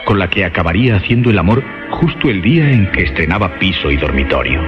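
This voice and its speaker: A man narrating a suspense radio drama in a dramatic, deliberately phrased style. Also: sits low, animated. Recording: muffled radio sound, music bed behind.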